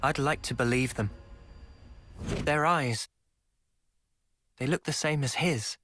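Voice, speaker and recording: A young hero in a video game, kind and sincere. His voice is bright and clear-cut, with faint music underneath. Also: medium pitch, slow pace. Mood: earnest. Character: earnest, kind young male hero, gently hesitant.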